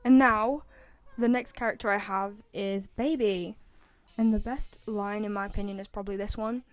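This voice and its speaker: A woman's voice: mid-range voice, steady tone, moderate pace, no echo. A young woman with a British accent giving casual opinion commentary as a video host, often repeating herself for emphasis. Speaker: video host, game fan.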